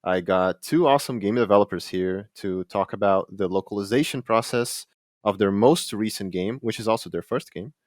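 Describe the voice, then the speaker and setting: A man's voice: fairly low pitch, moderate pace, a little mellow, no echo, clean studio sound. A man hosting a podcast, a game translator whose first language is Brazilian Portuguese, chatting in a friendly, enthusiastic way. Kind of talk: casual podcast interview.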